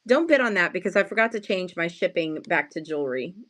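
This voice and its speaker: Slightly hoarse woman, casual live-sale chat. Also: lowish voice, expressive, fairly quick, fairly bright tone. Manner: self-deprecating, playful slangy wording; chatty, greets and thanks viewers often. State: just woken up, sleepy.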